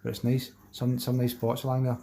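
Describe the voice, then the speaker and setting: A man's voice: mid-range voice, monotone, brisk, gravelly, mellow, a little hiss or hum. A man with a Glaswegian accent chatting casually on a fishing live stream, replying to viewers in a matey, rambling way with colloquial Scots wording. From Glasgow, Scotland. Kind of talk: casual live chat, reading and answering viewer messages.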